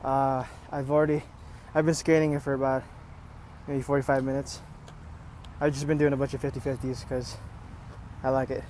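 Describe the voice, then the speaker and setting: A man's voice: mid-range voice, level tone, normal speed, pauses often, a little mellow. Casual skater guy, noisy outdoor recording. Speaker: skateboarding vlogger. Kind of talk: casual rambling vlog chat. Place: outdoors at an urban skate spot. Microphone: earphone microphone with a phone. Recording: noisy outdoor phone and earpiece audio.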